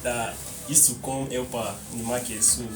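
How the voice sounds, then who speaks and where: A young man with a Nigerian accent, talking in an animated way, laughing and mixing in Pidgin. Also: mid-range voice, normal speed. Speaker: interviewee. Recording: roomy echo, distant mic, noise behind.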